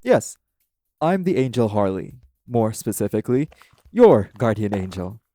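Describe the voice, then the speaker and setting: A man's voice: lowish voice, sing-song, dark tone, no room sound, clean studio sound. A man playing a polite, earnest guardian angel who speaks formally and diplomatically in an audio roleplay. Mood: composed, formal. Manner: formal, courteous, measured explaining.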